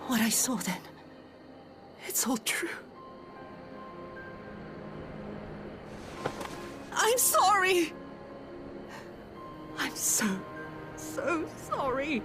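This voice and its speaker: A woman's voice: high-pitched, sing-song, takes it slowly, pauses often, music in the background, exaggerated voice. An actress playing a timid, fragile young woman in a video game, hesitant and pleading. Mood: anguished, remorseful. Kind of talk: dramatic video game acting.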